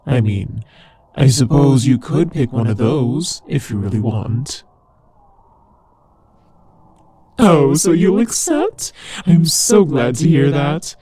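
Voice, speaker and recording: A man's voice: medium pitch, lively intonation, a little slow, crowd noise. A man playing a teasing, sardonic demon ruler of hell in a scripted roleplay audio drama, with a conversational, theatrical delivery. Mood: businesslike, pleased.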